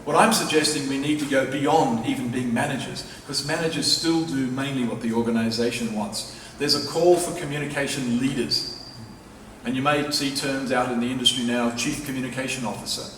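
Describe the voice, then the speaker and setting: A man's voice: medium pitch, a bit bright. A man giving a public lecture as a professor, speaking in an emphatic, persuasive way and repeating key phrases for stress. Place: large lecture hall at a university. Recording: echoey hall, distant mic, faint noise.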